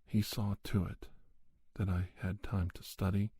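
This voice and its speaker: A man speaking softly in an ASMR monologue, in a halting, reflective manner, as a podcast host. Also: low voice, little pitch movement, slightly rough, no room sound. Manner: slow, halting, reflective delivery. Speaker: podcast host playing a conversation counselor. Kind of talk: soft-spoken ASMR monologue.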